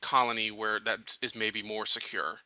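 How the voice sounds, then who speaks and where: A man's voice: lowish voice, flat delivery, moderate pace, bright, forceful tone, no room sound. A man talking through plans as a tabletop roleplaying player, casual and thinking aloud. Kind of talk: casual in-game planning discussion.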